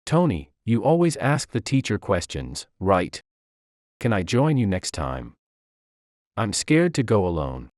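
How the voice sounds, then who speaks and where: A man's voice: fairly low pitch, wildly swooping pitch, a little slow, a little mellow, no echo, studio quality. A man voicing a shy, grateful teenage schoolgirl in a narrated story. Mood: shy, friendly. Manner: nervous, friendly.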